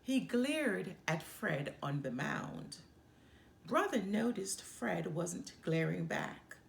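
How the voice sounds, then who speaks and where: A woman reading a children's picture book aloud in an expressive, measured storytelling manner. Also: fairly low pitch, creaky. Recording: echoey room with faint background noise.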